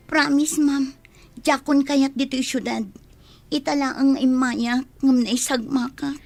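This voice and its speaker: A troubled young village woman in a radio drama. Her voice sits fairly high and is recorded close and dry. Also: fairly even intonation, measured pace, a little mellow, dry recording, faint music behind. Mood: earnest, grateful. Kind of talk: Ilocano radio drama acting.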